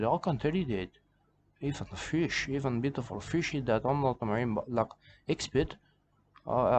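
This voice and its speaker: A man's voice: medium pitch, darkish tone, slight background noise. A man giving rambling comedic commentary on a video, circling back on the same phrases in broken grammar.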